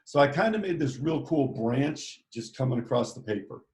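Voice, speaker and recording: A man's voice: mid-range voice, animated, moderate pace, a little mellow. A man teaching a live online drawing lesson, giving playful step-by-step instructions in an upbeat way. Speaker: art teacher.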